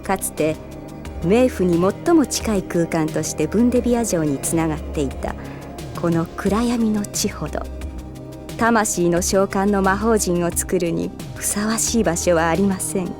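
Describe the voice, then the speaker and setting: An actress playing a devoted, otherworldly woman who narrates an audio drama in a measured, solemn, formal manner. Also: mid-range voice, natural voice. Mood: composed, purposeful. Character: devoted otherworldly female narrator set on resurrecting a fallen swordsman. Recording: music bed behind the voice.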